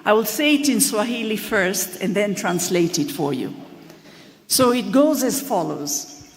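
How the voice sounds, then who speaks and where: A woman delivers a formal acceptance speech in a measured, deliberate way. She slows to stress key phrases, and her voice sits at a mid pitch. Her voice rings with the long reverb of a large hall. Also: animated. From Tanzania. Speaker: award recipient. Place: large hall at an awards ceremony.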